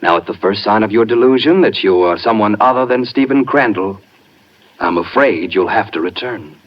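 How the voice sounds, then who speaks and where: Male actor as sinister sanatorium doctor: very low voice, measured and condescending, muffled vintage radio sound. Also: close to an everyday voice. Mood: firm, controlling. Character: smooth, controlling male phony doctor from a rest home. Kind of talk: 1950s radio drama acting. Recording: muffled 1950s radio recording.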